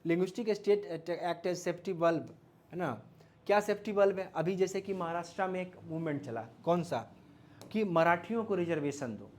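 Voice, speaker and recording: Man, Indian accent, slightly high voice, teacher, informal lecture, rhetorical questions, check-in tags. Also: unhurried, a little mellow. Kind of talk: informal explanatory lecture mixing Hindi and English.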